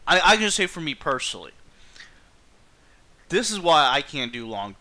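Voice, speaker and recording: Bright-voiced man chatting casually. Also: on the high side, sing-song, moderate pace. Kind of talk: casual chat.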